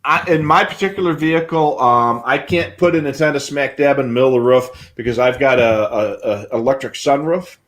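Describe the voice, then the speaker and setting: A man's voice: mid-range voice, fairly quick, few pauses, fairly bright tone. A middle-aged man with an American accent co-hosting a livestream, explaining things in a folksy, conversational way. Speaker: co-host of a radio scanner show. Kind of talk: casual explanatory talk on a livestream. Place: indoor home radio room on a video call.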